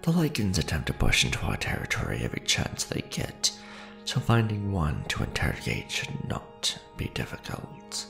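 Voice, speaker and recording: Deep, gravelly man playing an ancient vampire lord. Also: wildly swooping pitch, over music, exaggerated voice. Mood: commanding, cautioning. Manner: formal, grandiose, deliberate phrasing with pauses. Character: ancient male vampire lord, courtly, devoted, ruthlessly ambitious. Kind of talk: ASMR roleplay acting.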